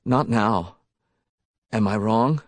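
A man's voice: lowish voice, takes it slowly, no room sound. A man voicing a frightened but defiant young woman in a story, firm and accusing as she confronts a killer. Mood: calm defiance.

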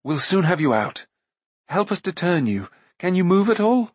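A man's voice: high-pitched, big pitch swings, moderate pace, slightly rough, dry recording, voice pushed up, exaggerated voice. A man voicing an earnest, caring young hero in an audiobook, with a concerned manner. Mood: reassuring.

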